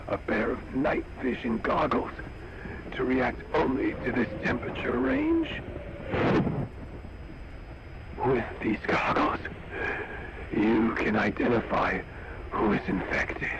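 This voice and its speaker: An actor playing an infected laboratory staffer in a game, desperate yet grimly resolute, halting and strained as he records a confession. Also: mid-range voice, very animated, muffled recording, noisy background. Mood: grim resolve.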